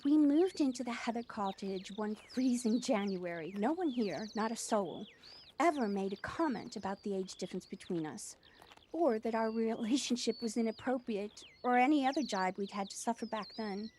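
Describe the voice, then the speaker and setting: A woman's voice: a little high, lively intonation, moderate pace, a little mellow, lightly acted. An actress playing a proud, devoted widowed mother who runs a cafe, speaking earnestly and reflectively in an audio play. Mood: wistful, proud. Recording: noisy home recording on personal device.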